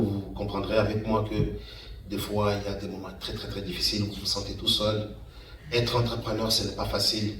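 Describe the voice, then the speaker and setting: A middle-aged man gives an informal talk as an entrepreneur, in a halting way, repeating words for emphasis. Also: lowish voice, fairly bright tone. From Democratic Republic of the Congo, Kananga. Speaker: guest speaker, engineer and entrepreneur. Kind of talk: informal public talk recounting a career path. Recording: echoey room, distant mic, faint noise.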